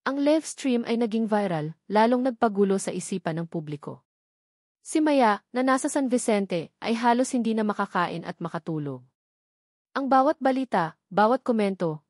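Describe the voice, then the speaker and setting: A woman's voice: medium pitch, animated, moderate pace, a little mellow, dry recording, studio quality. A woman narrating a scripted, dramatic romance story as a storyteller.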